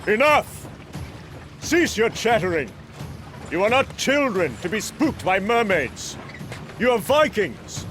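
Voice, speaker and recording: A man's voice: high voice, sing-song, slow pace, pauses often, fairly bright tone, slight background noise, full character voice. An actor playing a proud, brash young male Norse god of thunder, grandiose and commanding, in a comic book audio drama. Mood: angry.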